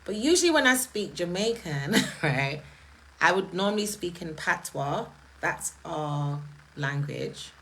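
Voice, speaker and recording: A British-accented woman talks straight to her live-stream audience, her voice sitting a touch low. She is emphatic, leans on rhetorical questions and moves quickly from point to point in relaxed, conversational speech. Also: low-quality recording. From United Kingdom. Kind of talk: casual live-stream talk, answering chat comments.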